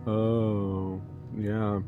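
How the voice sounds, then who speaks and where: A man's voice: fairly low pitch, flat delivery, very slow, phone-quality sound, music in the background. A man playing a boastful, grumbling jailed terrorist in improvised online roleplay. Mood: curious, needling. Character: boastful, grumbling jailed male terrorist, self-declared cool.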